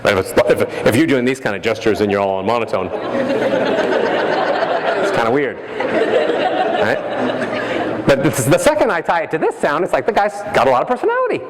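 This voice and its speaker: A man giving a motivational keynote as a voice coach, animated and playful, acting out examples with his voice. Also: medium pitch, a little fast, long pauses, a loud crowd, heavy background noise. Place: large hall. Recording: echoey hall, faint ambience.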